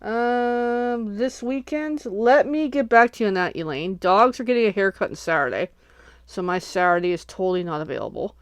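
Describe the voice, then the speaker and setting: A woman's voice: medium pitch, lively intonation, moderate pace, clean tone, no room sound. A sniffly woman hosting a casual crafting livestream, chatting and thinking aloud as she unboxes and works at home.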